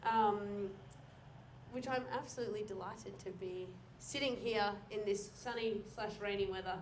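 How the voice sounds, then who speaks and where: A woman's voice: medium pitch, moderate pace. A woman in her thirties from Tasmania, hosting a live interview in a bubbly, teasing way and laughing often. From Tasmania, Australia. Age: 30s. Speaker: host, songwriter. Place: community venue before an audience. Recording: roomy, distant live hall mic with faint noise.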